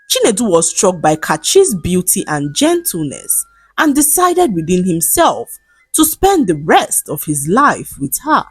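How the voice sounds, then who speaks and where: Expressive woman narrating a folktale. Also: mid-range voice, husky, dry recording. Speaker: storyteller.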